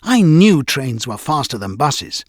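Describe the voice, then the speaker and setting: A man's voice: mid-range voice, very animated, a little slow, no echo, clean studio sound. A man voicing an eager, cheeky, impatient young male tank engine in chirpy exclamations for a storybook. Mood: boastful.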